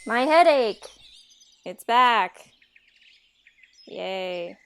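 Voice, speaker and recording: A woman's voice: on the high side, lively intonation, extremely slow, a bit bright, clean tone, exaggerated voice. A woman playing an exasperated, sarcastic young fairytale heroine in a comedic audio drama, with modern casual phrasing. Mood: weary, sarcastic. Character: exasperated, sarcastic young Cinderella dodging a suitor.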